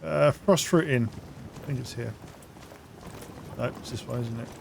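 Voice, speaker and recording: A man's voice: medium pitch, moderate pace, gravelly, slight background noise. A man hosting a gaming video, giving casual playthrough commentary and reading in-game text aloud. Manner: chatty, self-narrating. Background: soft game music.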